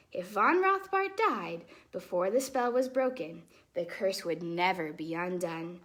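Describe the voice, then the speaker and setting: A woman hosting storytime, reading a fairytale aloud to children expressively, with casual explanatory asides in a softer, chatty manner. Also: mid-range voice. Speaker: storytime host and ballet dancer.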